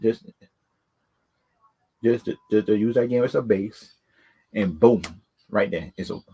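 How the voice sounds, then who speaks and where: A man's voice: fairly low pitch, unhurried, vocal fry, dark tone, slightly rough. A man giving casual, unscripted opinions as a video host, rambling and often repeating words and stock phrases. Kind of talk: casual unscripted opinion talk on films and games.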